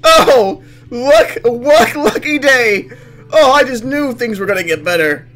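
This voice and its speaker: Male let's play host: dramatic, very varied pitch, forceful edge, narrates and comments over game music. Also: a little slow. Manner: expressive, dramatic reactions. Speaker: gaming video host.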